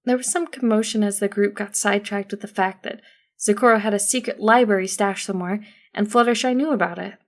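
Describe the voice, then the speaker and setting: A woman's voice: mid-range voice, steady tone, brisk. Female narrator, mid-range voice, for first-person fiction audiobook narration.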